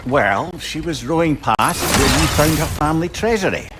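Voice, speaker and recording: An actor playing a witty, warm older companion in a video game, with a Scottish accent and a wry, conversational storyteller's manner. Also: male voice, a little high, expressive, normal speed, heavy background noise, lightly acted. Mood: tender, musing. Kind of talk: dramatic video game acting.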